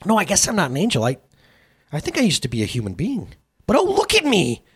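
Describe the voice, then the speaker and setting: A man voicing a newly redeemed former thief, speaking in high, breathless wonder. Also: huge pitch swings, quick pace, slightly rough, heavily acted. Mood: amazed.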